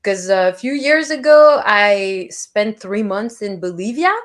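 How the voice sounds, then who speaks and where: A woman hosting a casual livestream chat. She is animated and laughs often. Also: medium pitch, takes it slowly. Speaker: livestream host.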